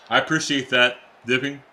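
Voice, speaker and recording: A young adult man, a teacher by day, giving casual, excitable live-stream commentary while playing a football video game and chatting with viewers. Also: mid-range voice, a little hiss or hum. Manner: excitable, chatty, reacts to plays and reads chat aloud.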